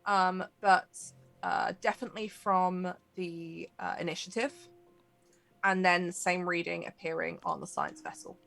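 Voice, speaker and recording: A woman's voice: on the low side, a little slow, vocal fry, a bit bright, soft background music. A woman narrating a tabletop game as game master, in a conversational, explanatory way.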